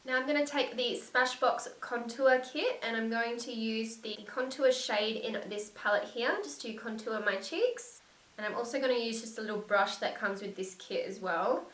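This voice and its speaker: A young woman with a slightly croaky voice from a cold, narrating a makeup tutorial and gushing softly about the products. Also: fairly high pitch, normal speed, recorded from a distance. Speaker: beauty vlogger. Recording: echoey room, set back from mic.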